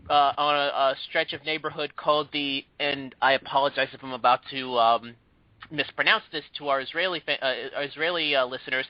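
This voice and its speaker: A man's voice: fairly high pitch, no room sound. Male podcast host, rambling delivery full of "um"s, dry lo-fi sound. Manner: rambling, many fillers and restarts. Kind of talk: casual movie news chat.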